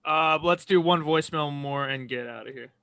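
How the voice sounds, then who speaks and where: A man with a fairly high, very bright voice co-hosts a sports podcast. He talks in casual banter, and the sound is close and dry. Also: normal speed, dry recording. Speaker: podcast co-host.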